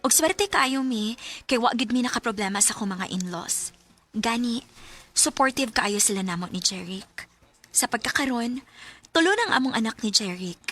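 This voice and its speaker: An actress with a Cebuano Filipino accent playing a young widow torn by guilt over new love in a radio drama. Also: female voice, a little high, lively intonation, normal speed, fairly bright tone, soft background music. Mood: settled, narrating.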